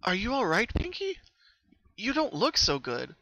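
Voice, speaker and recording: A man's voice: high-pitched, huge pitch swings, unhurried, bright, forceful tone, no echo, pitched above the performer's own voice, heavily acted. A man voicing a kind, concerned young female cartoon unicorn, polite and attentive, in a storybook reading. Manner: polite, solicitous.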